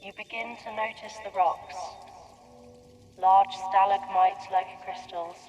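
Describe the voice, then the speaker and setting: A woman reads a scripted guided meditation in a measured, descriptive manner, addressing the listener directly as a narrator. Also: mid-range voice, steady tone, a little mellow, muffled recording, faint music behind. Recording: long reverberant hall-like echo.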